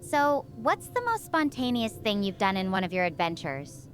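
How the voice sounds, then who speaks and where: A woman's voice: medium pitch, sing-song, normal speed, faint music behind, lightly acted. A synthetic woman's voice playing a stranded young space researcher, chatty and full of questions. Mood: curious. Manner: chatty, curious, asks many questions. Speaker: astrophysics researcher.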